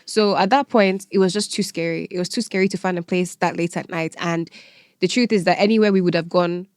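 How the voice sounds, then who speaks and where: A woman's voice: lowish voice, steady tone, fairly quick, a little mellow, no echo, studio quality. A woman from Nigeria with a Nigerian accent, telling personal stories candidly and conversationally as a podcast guest. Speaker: podcast guest, event organiser.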